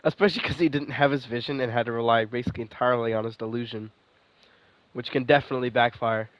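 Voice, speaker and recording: A man's voice: medium pitch, steady tone, fast, dry recording, rough recording. A man giving casual, informal live gameplay commentary as a streamer, reacting as he goes. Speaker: game streamer.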